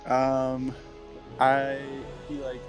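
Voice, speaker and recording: Man, mid-pitched voice, casual tabletop player, hesitant delivery, music playing behind. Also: completely flat delivery, very slow. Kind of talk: casual game chat. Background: background music.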